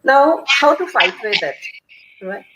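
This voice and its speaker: A woman's voice: high voice, expressive, slow, lo-fi sound. A woman speaking as a panelist in an online discussion, explaining and often checking in with "right?". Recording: echoey room with clear background noise.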